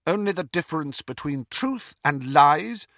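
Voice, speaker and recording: Man playing a stern, high-voiced lady professor. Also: animated, unhurried, fairly bright tone, no room sound, clean studio sound. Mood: indignant. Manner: precise, formal, icy, impatient. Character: stern, dignified female professor of witchcraft, coldly composed.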